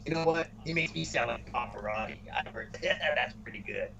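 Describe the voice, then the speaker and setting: A man co-hosting a casual wrestling storyline chat, speaking haltingly and often repeating words as he restarts phrases. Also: medium pitch, gravelly, bright, forceful tone. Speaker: co-host of a wrestling podcast. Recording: muffled, noisy room recording.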